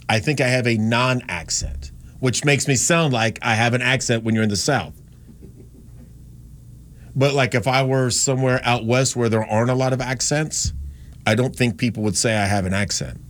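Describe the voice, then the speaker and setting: A man's voice: medium pitch, moderate pace, long pauses, fairly bright tone. A man from North Carolina hosting a radio show, talking in a rambling, chatty way with frequent restarts and no strong accent. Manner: chatty, rambling, self-correcting. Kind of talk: casual on-air talk.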